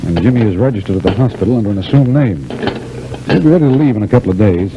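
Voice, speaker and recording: An actor playing a calm, protective family friend in an old-time radio serial, reassuring and matter-of-fact. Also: male voice, fairly low pitch, racing. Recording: muffled, hissy old radio recording.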